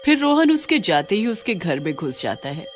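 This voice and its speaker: A woman's voice: mid-range voice, big pitch swings, normal speed, soft background music. A woman reading a scripted horror story as its narrator.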